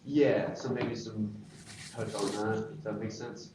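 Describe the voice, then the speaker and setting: A man speaking politely and haltingly in a casual group discussion, qualifying his points as he goes. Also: fairly low pitch, fairly even intonation. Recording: very echoey, distant, noisy room.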